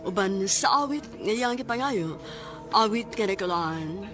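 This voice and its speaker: A woman's voice: mid-range voice, lively intonation, a little slow, loud music behind the voice. A woman with a Cebuano accent hosting a radio drama program in a scripted announcer style. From Philippines.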